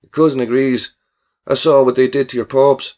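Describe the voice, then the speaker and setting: A man's voice: mid-range voice, steady tone, moderate pace, a little mellow, close to an everyday voice. A man voicing a scrawny, eager, fawning gangster in an audiobook, harsh and over-familiar. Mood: boastful. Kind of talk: audiobook character voice.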